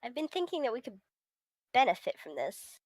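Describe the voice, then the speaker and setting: A woman's voice: a little high, very animated, fairly quick, dry recording. A woman streamer reading a visual novel aloud, giggly and breaking from the script with casual reactions. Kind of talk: live stream read-aloud with casual asides.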